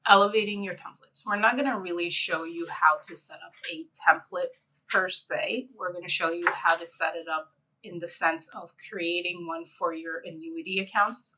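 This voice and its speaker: A woman's voice: fairly low pitch, sharp tone, low-quality recording. A middle-aged woman from Egypt, an interior designer presenting a conference session in a conversational way with frequent fillers.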